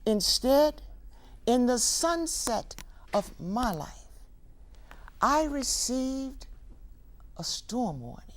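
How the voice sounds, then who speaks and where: An actress plays an older woman telling her life story on stage, wry, wounded and defiant. Her voice sits fairly high and moves through wide, emphatic swings. She takes her time, leaving deliberate, dramatic pauses between phrases. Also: sing-song, full character voice. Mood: solemn, grieving. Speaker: stage actor performing a monologue. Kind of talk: theatrical dramatic monologue.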